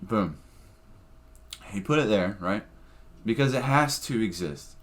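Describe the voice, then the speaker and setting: Brief: comedian guest, blunt and opinionated, swears freely, lowish voice. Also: male voice, expressive, a little slow. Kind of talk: casual podcast chat.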